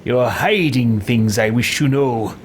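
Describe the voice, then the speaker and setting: A man roleplaying a haughty, commanding fantasy ruler in a video game, imperious and deliberate. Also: medium pitch, a little hiss or hum, natural voice. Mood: demanding. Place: small room with bookshelves.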